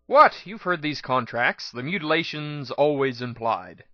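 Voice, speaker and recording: Man voicing a brash, bright-voiced pony gunslinger gal. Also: fairly high pitch, a little slow, no echo, dull audio. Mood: eager, brash. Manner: excitable, loud outbursts. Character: brash, trigger-happy female pony gunfighter. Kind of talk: first-person dramatic reading.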